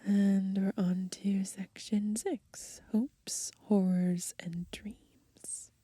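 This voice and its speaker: A young woman whispering in a hushed, breathy voice for ASMR, unhurriedly reading from a book and adding gently musing asides. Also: mid-range voice, flat delivery, drawn-out words, no room sound. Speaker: ASMR host. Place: outdoors on grass.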